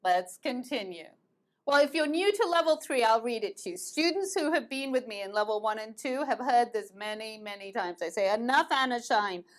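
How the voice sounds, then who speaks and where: A woman's voice: fairly high pitch, lively intonation, normal speed. A woman teaching an online English grammar lesson, patiently repeating verb forms. She grew up between the United States and England.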